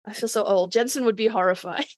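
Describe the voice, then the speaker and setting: A woman's voice: medium pitch, quick pace, dry recording. A woman in her thirties co-hosting a podcast with casual, playful, exclamatory banter. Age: 30s. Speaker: podcast co-host.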